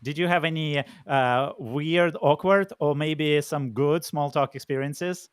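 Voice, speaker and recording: A man's voice: on the high side, a little slow, smooth, no room sound, studio quality. A man co-hosting an online lesson, encouraging a learner with questions.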